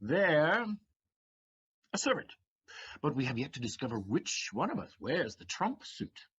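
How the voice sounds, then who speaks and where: A man's voice: mid-range voice, very animated, unhurried, fairly bright tone, slightly rough, lo-fi sound, full character voice. A man acting a shrewd, sardonic, swaggering servant in an audio play, with declamatory, mocking delivery and theatrical emphasis. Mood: defiant.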